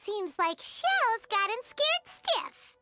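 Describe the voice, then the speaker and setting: A woman voicing a tiny, chirpy, sassy childlike fairy companion in a video game, blunt and expressive. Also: very high voice, slow pace, full character voice. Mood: matter-of-fact. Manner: refers to self in third person, blunt, expressive. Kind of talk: video game character acting. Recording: game music behind.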